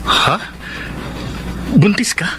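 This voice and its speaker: An actor playing an evasive young Filipino boyfriend in a radio drama, speaking coaxingly. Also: male voice, drawn-out words, music in the background. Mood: surprised.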